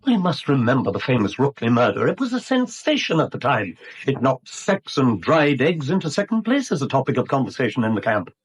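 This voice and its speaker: An actor playing a middle-aged English property speculator in a 1970s radio drama, mock-polite and slyly insinuating. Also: male voice, mid-range voice, very animated, fairly quick, husky. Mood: slyly probing. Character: sly, insinuating small-time property speculator and blackmailer.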